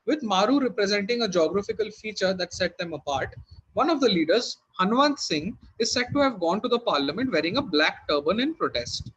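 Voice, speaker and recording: A man's voice: sits high, animated, brisk, a bit bright, breathy edge, dull audio. A man working as a quizmaster, reading out questions and hosting in a conversational way.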